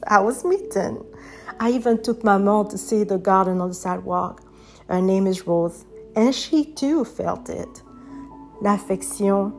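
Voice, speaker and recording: A woman with a French accent reads her own poetry aloud, unhurried and unforced. Her voice is soft and reflective, at an everyday pitch. Faint music plays quietly behind her. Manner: gentle, reflective, soft-spoken. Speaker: poet reading her own work. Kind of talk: slow poetry reading.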